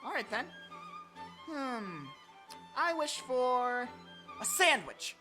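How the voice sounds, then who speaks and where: A woman's voice: high voice, very animated, very slow, pushed and bright, soft background music, full character voice. A woman voicing a cocky, foolish young male anime hero in a comedic parody dub, sarcastic and smug. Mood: casual, smug.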